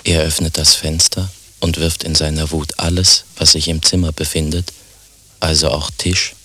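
A detached male narrator for a radio drama. His very low voice stays extremely flat, delivering terse stage directions deadpan. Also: normal speed, full character voice. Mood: matter-of-fact. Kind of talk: scripted radio play narration.

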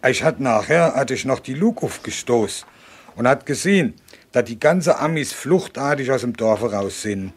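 A man's voice: medium pitch, animated, normal speed, breathy edge. An actor in a radio drama playing a man over fifty, a former deserter, recounting memories in plain, folksy regional dialect. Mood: earnest, reminiscing. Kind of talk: first-person recollection in radio drama.

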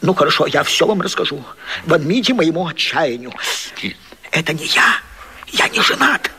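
An actor playing a flustered, pompous gentleman in a radio comedy, agitated, stammering and pleading in indignant bursts. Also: on the high side, sing-song, moderate pace. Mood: frantic, confessing. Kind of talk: classic radio comedy vaudeville acting.

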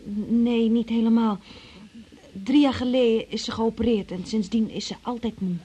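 A woman's voice: a little high, steady tone, moderate pace, mellow, breathy edge, poor audio. Timid, evasive young woman. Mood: defensive. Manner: hesitant, meek, defensive. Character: timid, evasive young female shop worker under questioning. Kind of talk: radio drama acting.

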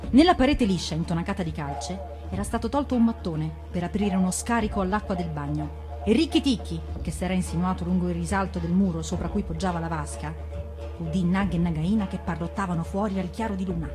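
Dramatic woman storyteller over music. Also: fairly low pitch. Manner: dramatic, deliberate. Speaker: reader of fables on radio. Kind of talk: expressive scripted storybook narration.

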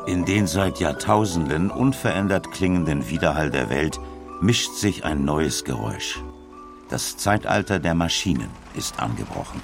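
A man's voice: a bass voice, lively intonation, normal speed, vocal fry, over music. A man reading scripted documentary narration as a narrator.

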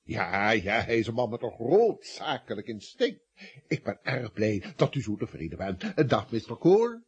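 A husky, blustering office boss from a radio comedy. His pitch jumps about expressively as he grumbles drily, grudgingly generous underneath. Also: sing-song, moderate pace. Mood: smooth, then dry.

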